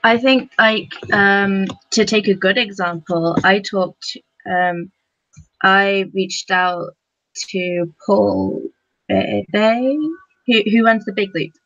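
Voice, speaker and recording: Woman, casual panelist, slow and halting delivery, slightly dull sound. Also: medium pitch, a bit bright, no echo, faint music behind. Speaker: podcast panelist.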